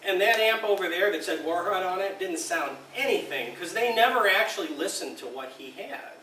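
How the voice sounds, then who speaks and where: A man's voice: high voice, animated, normal speed, pushed and bright, a little hiss or hum. A man, an amp designer, telling a rambling story in casual talk, often laughing and acting out what others said. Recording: roomy and distant with faint ambience.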